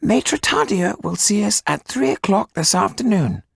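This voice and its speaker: A man doing a tetchy schemer in a storybook reading, pitched well above his own voice, gravelly and husky, swooping all over. He speaks curtly and with constant irritation. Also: expressive, unhurried, fairly bright tone, no echo, exaggerated voice. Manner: curt, irritable.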